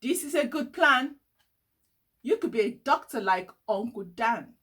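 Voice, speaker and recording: A woman's voice: a little high, lively intonation, a little slow, a bit bright, breathy edge, heavily acted. A woman voicing an encouraging, upbeat older boy cousin in a storybook, reassuring and enthusiastic.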